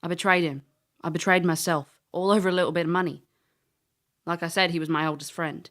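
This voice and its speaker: A woman's voice: lowish voice, fairly even intonation, a little fast, close to an everyday voice. An actress playing a world-weary female elf, a former thief, dry and blunt in an audio drama. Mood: downcast, regretful. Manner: dry, blunt, matter-of-fact.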